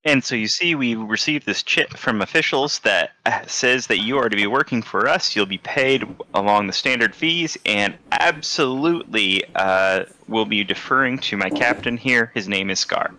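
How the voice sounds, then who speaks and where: This is a formal female officer from a newly formed mercenary unit, as a man voices her. She is polite and businesslike. The voice is very bright and edged, and sits fairly low. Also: moderate pace, few pauses, rough recording.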